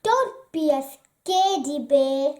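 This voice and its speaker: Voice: sits high, expressive, slow, a little mellow, echoey room. A storyteller voicing a kind, playful older male bear who soothes and teases in a children's storybook. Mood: reassuring. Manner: soothing, teasing.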